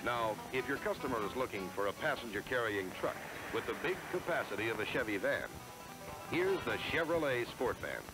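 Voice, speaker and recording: Lively American man narrating a vintage sales film. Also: medium pitch, a little fast, rough recording. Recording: vintage 1970s film soundtrack. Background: music.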